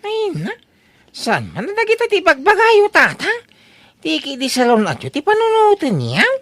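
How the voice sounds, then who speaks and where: Bright, swooping, fretful Ilocano daughter played by a woman. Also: sits high, a little slow, dry recording, heavily acted. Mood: alarmed. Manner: excitable, exclamatory. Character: exasperated, fretful grown daughter of aging parents. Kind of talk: comedy audio drama acting.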